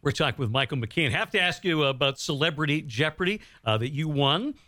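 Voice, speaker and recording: A man's voice: on the high side, expressive, a little fast, sharp tone, dry recording, clean studio sound. A man hosting an interview, asking conversational questions and offering his own comments. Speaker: radio interviewer, host.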